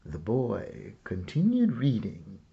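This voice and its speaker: Male storybook reader, theatrical and very lively, unhurried with dramatic pauses, slightly dull recording. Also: fairly low pitch, gravelly. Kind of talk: animated read-aloud of a children's picture book.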